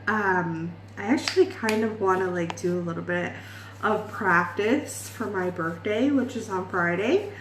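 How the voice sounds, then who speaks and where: A woman's voice: medium pitch, measured pace, roomy sound, noisy background. A woman in her late twenties from Ireland, chatting in a rambling, self-deprecating way in a makeup vlog. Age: 20s. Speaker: beauty vlogger.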